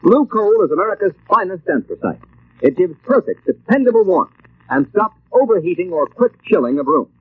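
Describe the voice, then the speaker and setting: An actor playing a formal, declamatory announcer in the style of 1940s radio. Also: male voice, high voice, big pitch swings, brisk, slightly rough, heavily acted. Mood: solemn, promotional. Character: solemn radio announcer selling coal and introducing mystery. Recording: muffled, tinny old-time radio broadcast.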